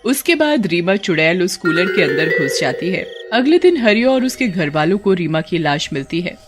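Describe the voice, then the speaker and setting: A woman's voice: on the low side, big pitch swings, normal speed, loud music behind the voice. A woman reading scripted narration for a story.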